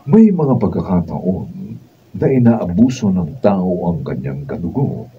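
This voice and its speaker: A man with a Filipino accent narrating a radio drama in a solemn, measured scripted style. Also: sits low, very animated, music in the background. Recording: distant, dull, faint noise.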